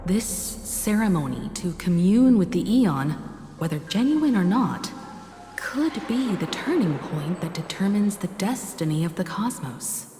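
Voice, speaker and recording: A woman playing a poised, thoughtful mentor in a video game, measured and reflective, in echoing reverb with music behind. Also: on the low side, big pitch swings, dark tone. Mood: grave, reflective. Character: poised, thoughtful female mentor, calm and wise. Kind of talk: scripted video game voice acting.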